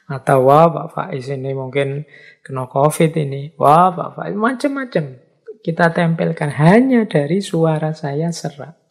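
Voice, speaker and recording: A man with a slightly hoarse voice, giving a relaxed philosophy lecture and acting out everyday reactions. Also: on the high side, a little slow.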